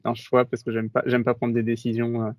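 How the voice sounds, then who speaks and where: A man's voice: mid-range voice, monotone, fairly quick, darkish tone, dry recording. A man co-hosting a podcast in casual chat, easygoing and hesitant, laughing a lot. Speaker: podcast co-host.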